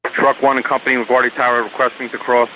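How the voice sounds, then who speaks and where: A muffled two-way radio with clear noise carries a male fire truck operator. He makes short, formal requests in a mid-range voice. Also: mid-range voice, little pitch movement, fast. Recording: muffled, noisy vehicle radio transmission.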